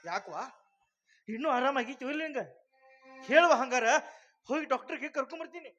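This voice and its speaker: A man's voice: very high voice, expressive, a little fast, sharp tone, dull audio, soft background music, full character voice. A man playing a bossy village father. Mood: worried. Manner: scolding, insistent.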